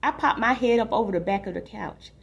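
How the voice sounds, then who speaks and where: A woman's voice: on the high side, a little fast, creaky, husky, lo-fi sound, pitched above the performer's own voice. A woman reading a storybook aloud as a restless, imaginative young boy longing for adventure, in a dramatic, confiding way. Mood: deflated. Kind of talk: expressive storybook read-aloud.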